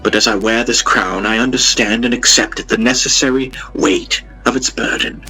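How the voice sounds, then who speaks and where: A man's voice: mid-range voice, steady tone, normal speed, pushed and bright, soft background music, lightly acted, low-quality recording. A man voicing a newly crowned queen who gives a solemn, resolute, declamatory proclamation in an audio drama. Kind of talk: formal oratory in an audio drama.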